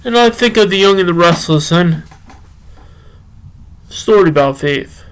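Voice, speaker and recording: A man talking and reading poetry solo as a podcast host, halting, with drawn-out phrases and long pauses. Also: high-pitched, animated, smooth. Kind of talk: rambling solo talk and poem reading.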